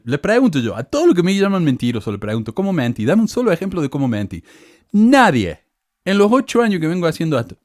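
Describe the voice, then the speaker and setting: A man's voice: darkish tone, dry recording, studio quality. A middle-aged man with a Cordoba Argentine accent, hosting a podcast and reading out hostile comments with sarcastic indignation. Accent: Cordoba Argentine Spanish. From Córdoba, Argentina. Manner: indignant, sarcastic, rants with rising pitch. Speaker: podcast host.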